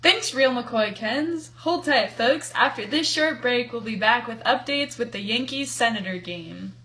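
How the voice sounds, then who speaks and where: A woman's voice: on the high side, a little slow, sharp tone. A woman playing an earnest news anchor in a scripted amateur 1920s radio broadcast. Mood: upbeat.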